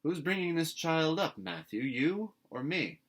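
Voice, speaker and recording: A man voicing a stern older woman guardian in a storybook, brisk, tart and scolding. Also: a little high, very animated, fairly bright tone, voice pushed up, heavily acted. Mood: irritated.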